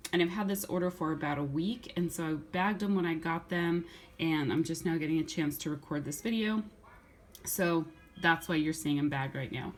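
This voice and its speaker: A middle-aged woman talks in a lowish, slightly hoarse voice, as if she is fighting off a cold. She chats casually and rambles, restarting her sentences and filling pauses as she goes. A faint hiss of noise sits under her voice. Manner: chatty, rambling, with many restarts and fillers. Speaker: vlogger. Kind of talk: casual haul video chat.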